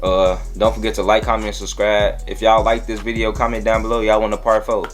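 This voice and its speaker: A man's voice: on the low side, little pitch movement, a little fast, faint music behind. Teasing teenage male vlogger. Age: teens. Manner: loose, teasing, laughs often. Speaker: vlogger host. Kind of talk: casual trolling chat on a video chat app. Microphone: phone video call.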